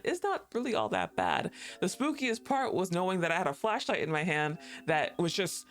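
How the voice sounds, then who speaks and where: A young woman streaming, chatting casually and rambling, laughing often and swearing offhandedly. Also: deep voice.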